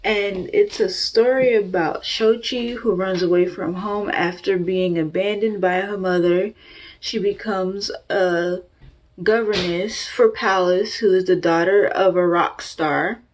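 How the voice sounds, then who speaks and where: A woman recommending a book on her channel, talking in a hesitant, halting way. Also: lowish voice, highs cut off. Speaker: book reviewer. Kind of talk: booktube recommendation.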